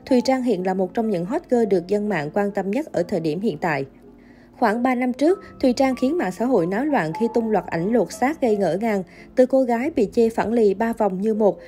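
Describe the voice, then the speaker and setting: A woman narrates a scripted news feature in a mellow, warm voice with a steady, even read. Soft music plays quietly behind her. Her pitch sits in a middle range for a woman.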